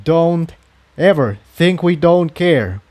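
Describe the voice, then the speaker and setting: A man voicing a kind, steady male pony and loyal friend in a storybook reading, sincere, gentle but firm. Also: on the high side, slow pace, voice pushed up. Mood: firm, reassuring.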